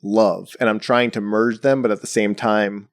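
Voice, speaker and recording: A man's voice: lowish voice, fairly even intonation, moderate pace, no room sound, studio quality. A young man from the Bronx, a painter chatting casually in an interview and filling his talk with "like" and "you know". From the Bronx, New York. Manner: rambling, heavy on "like" and "you know". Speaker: painter guest.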